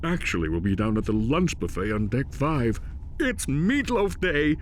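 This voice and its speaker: A man's voice: medium pitch, big pitch swings, normal speed, slight background noise, lightly acted. A man playing a vain, pompous space captain in a cartoonish video game, bombastic and self-admiring. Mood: pompous self-satisfaction.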